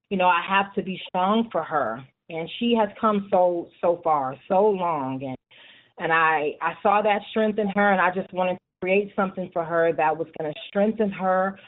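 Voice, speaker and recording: A middle-aged woman speaking earnestly and at length as a guest in a radio interview, with passionate conviction. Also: lowish voice. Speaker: guest, youth support group chapter leader. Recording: narrow tinny phone-like line.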